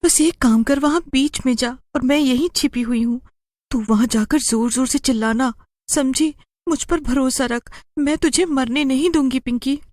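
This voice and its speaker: A woman's voice: high-pitched, normal speed, a little mellow, no echo, clean studio sound, pitched above the performer's own voice, close to an everyday voice. A woman voicing a scared but scheming young girl with an earnest, insistent, childlike delivery.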